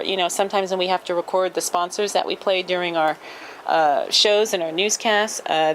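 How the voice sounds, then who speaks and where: A young woman who works as a radio traffic reporter, chatting casually in an easygoing, halting way with lots of ums and uhs inside a radio station. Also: fairly low pitch, poor audio. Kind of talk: casual vlog chat with an on-air traffic read. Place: radio station office and studios, indoors.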